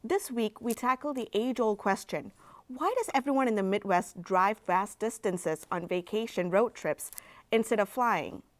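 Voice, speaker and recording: A woman's voice: mid-range voice, expressive, normal speed. A woman presenting a local TV show, reading a scripted segment introduction in an upbeat way. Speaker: TV show host.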